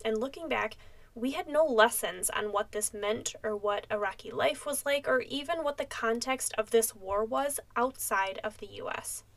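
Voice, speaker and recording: A woman's voice: on the high side, moderate pace, gravelly. A young American woman hosting a podcast, reading scripted narration. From United States. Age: young adult. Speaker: podcast host.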